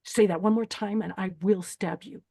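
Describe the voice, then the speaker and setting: A woman's voice: mid-range voice, steady tone, normal speed, vocal fry, warm tone. A woman playing a menacing, deranged pageant host who bosses and threatens contestants in a screenplay table read. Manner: bossy, threatening.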